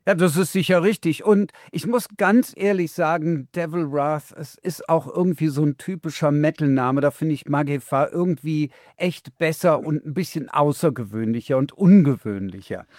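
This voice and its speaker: A man's voice: a little high, normal speed, few pauses, a little mellow, no room sound, clean studio sound. A man interviewing a metal band, asking questions in a friendly, conversational way.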